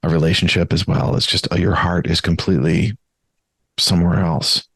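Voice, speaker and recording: Low gravelly guy interviewing, kinda husky, dry close mic, earnest and kind. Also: flat delivery, normal speed, fairly bright tone, no room sound. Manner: earnest, empathetic questioning. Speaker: podcast host.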